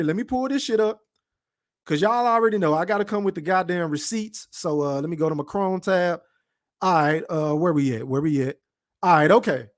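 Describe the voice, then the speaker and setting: Texan dude hosting a podcast stream, pretty high voice, blunt and cussing a lot. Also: male voice. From Texas, Dallas-Fort Worth. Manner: blunt, profane, emphatic. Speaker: podcast host reading live chat. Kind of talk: casual livestream rant.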